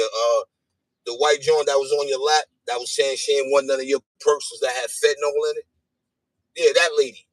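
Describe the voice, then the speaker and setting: A man bantering loudly on a live stream, taunting and laughing, and calling out over and over. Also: fairly high pitch, no room sound, poor audio. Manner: taunting, laughing, repeats calls of "hey, yo". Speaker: podcast guest.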